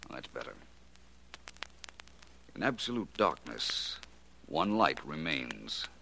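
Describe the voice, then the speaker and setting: An actor playing a shrewd, self-assured private detective in a theatrical, deliberate 1940s radio drama style. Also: male voice, rumbling low, sing-song, gravelly, bright, forceful tone, heavily acted. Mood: commanding, suspenseful. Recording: noisy old 1940s radio recording.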